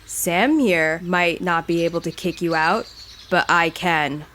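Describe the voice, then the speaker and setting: A woman's voice: on the low side, unhurried, fairly bright tone, a little hiss or hum. A woman playing the Jersey Devil, a grumpy, territorial cryptid who talks in a blunt, scornful way in an audio drama. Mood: scornful, irritated.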